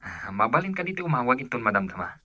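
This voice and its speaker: An actor with an Ilocano accent playing a clingy, lovesick boyfriend with a husky voice in a radio drama. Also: male voice, medium pitch, very animated, fast talker, bright, forceful tone. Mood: sweet, pleading.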